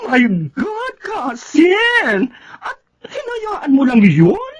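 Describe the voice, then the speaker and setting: A woman's voice: extremely high pitch, wildly swooping pitch, extremely slow, exaggerated voice. A woman playing a sassy, protective, outspoken young cousin in a Filipino radio drama, exclamatory and mixing in English with a Filipino accent. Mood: indignant. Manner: exclamatory, mixes English into Tagalog.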